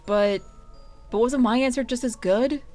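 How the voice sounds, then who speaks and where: A woman's voice: fairly high pitch, unhurried, a little mellow, soft background music, voice pushed up, natural voice. A woman voicing an eager, cocky youngest brother in a story reading, bold and impulsive in delivery. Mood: protesting. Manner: bold, declarative.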